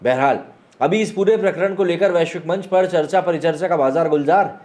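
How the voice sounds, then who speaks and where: A man with an Indian accent and a fairly high voice delivers news commentary. His phrasing is formal and newsy, with colloquial asides mixed in. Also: a little fast, darkish tone. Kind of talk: news explainer commentary, reading out online reactions.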